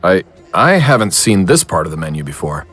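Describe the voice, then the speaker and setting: A wary man, played by an actor with a husky, fairly low voice and lively intonation. He sounds uneasy in this horror audio drama, with music behind him. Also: a little fast, full character voice. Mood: wary, polite. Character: wary, uneasy man trapped in an infernal dream.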